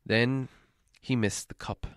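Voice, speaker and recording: A man's voice: medium pitch, steady tone, takes it slowly, smooth, no echo. Dramatic male storybook narrator, dry sound. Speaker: audiobook reader.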